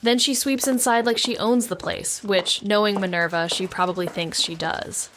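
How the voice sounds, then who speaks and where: A woman's voice: medium pitch, normal speed, background noise, lightly acted. An actress playing a wry, cynical female investigator in first-person noir narration for an audio drama. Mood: wry, tense.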